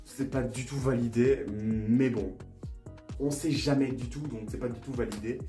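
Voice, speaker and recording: A man's voice: mid-range voice, steady tone, a little slow, warm tone. Playful young man, echoey room. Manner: playful, self-mocking, repeats phrases over and over. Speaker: vlogger. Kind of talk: casual vlog, trying out language-app phrases. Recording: echoey room with faint music.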